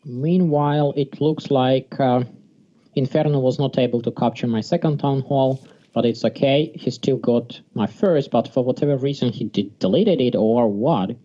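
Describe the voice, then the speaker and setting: A man from Sweden gives casual, halting live commentary on a strategy game. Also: mid-range voice, warm tone. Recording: dull lo-fi mic, music behind. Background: music.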